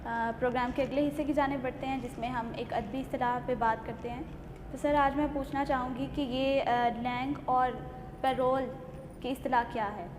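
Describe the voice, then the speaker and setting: A woman's voice: high-pitched, steady tone, moderate pace. A woman hosts a talk show, interviewing politely. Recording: echoey hall-like room with clear noise.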